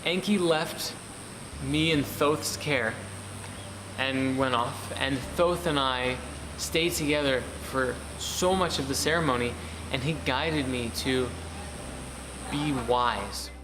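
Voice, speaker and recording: A man speaks very slowly and earnestly, stopping to find his words. His voice carries a long reverb, and steady noise sits behind it. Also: mid-range voice. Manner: slow, earnest, searching for words. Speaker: ceremony participant. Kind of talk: personal testimony. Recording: roomy reverb with background noise.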